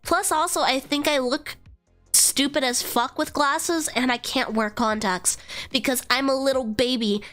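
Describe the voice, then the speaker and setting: A young woman with a high, nasal voice, stuffed up from a cold, chatting loosely on a live stream. She meanders and curses casually, leaning on "like" between thoughts. Soft music plays faintly underneath. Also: smooth. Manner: chatty, swears freely, trails into fillers. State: congested from a cold. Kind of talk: casual rambling stream chat.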